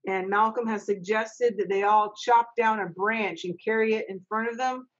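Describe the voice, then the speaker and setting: A woman's voice: medium pitch, steady tone, normal speed. A middle-aged woman acting as stage manager, reading stage directions and plainly instructing readers in an informal way. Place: small home office. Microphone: video call, computer microphone. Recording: dull, lo-fi video call audio.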